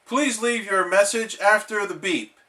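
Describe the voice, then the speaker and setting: A man's voice: high voice, unhurried, bright, forceful tone, voice pushed up. A man voicing a dim, overconfident male cartoon starfish who plays at being a doctor, emphatic and self-important. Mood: evasive.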